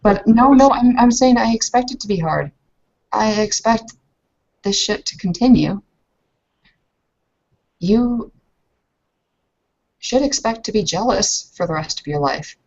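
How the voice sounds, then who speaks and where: A woman playing a proud, guarded young fighter in a tabletop game, talking in a halting, earnest way. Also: mid-range voice, expressive, creaky, a little mellow, voice pushed up. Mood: stubborn, frustrated. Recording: muffled lo-fi voice recording.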